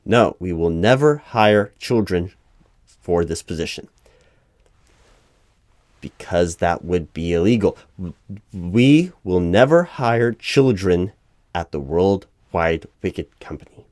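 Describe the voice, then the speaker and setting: A man playing an earnest, easily flustered corporate recruiting manager in a one-sided ASMR roleplay, speaking aloud in a halting, officious, repetitive way. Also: low voice, expressive, a little mellow, no room sound, clean studio sound. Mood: exasperated, insistent. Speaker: job interviewer.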